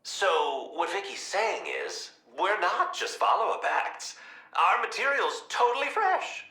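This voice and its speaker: A flamboyant clown villain from a video game, talkative and full of wisecracks. The voice is high for a man and extremely animated, leaping around theatrically with a very sharp, forceful edge. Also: a little slow, reverberant, heavily acted. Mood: showy, playful.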